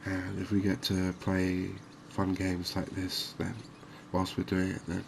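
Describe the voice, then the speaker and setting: A man with a deep, very flat voice narrates gameplay in a soothing ASMR style. He speaks softly and takes his time, with long, slow phrasing. Faint ambient noise sits behind his voice. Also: vocal fry. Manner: soft-spoken, unhurried, soothing. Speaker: gaming video host.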